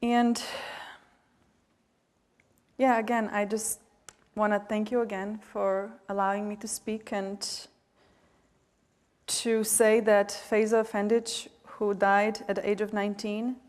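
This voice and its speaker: A woman's voice: mid-range voice, flat delivery, slow pace, a little mellow. Bosnian-accented woman in an echoing hall. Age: 40s. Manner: heartfelt, hesitant, many fillers and restarts. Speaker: panelist, nonprofit director. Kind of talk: earnest public remarks. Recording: reverberant event hall.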